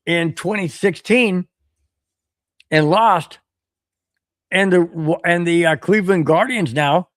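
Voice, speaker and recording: A man hosting a solo podcast stream, ranting animatedly and repeating himself while his voice wears hoarse. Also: sits high, slow, long pauses, dry recording, clean studio sound. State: voice getting hoarse. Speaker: podcast host. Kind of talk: solo livestream rant reading chat.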